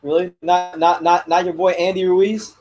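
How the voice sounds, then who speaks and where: A man hosting a casual chat, teasing his guests in quick back-and-forth. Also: high-pitched, level tone, darkish tone, faint music behind. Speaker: host.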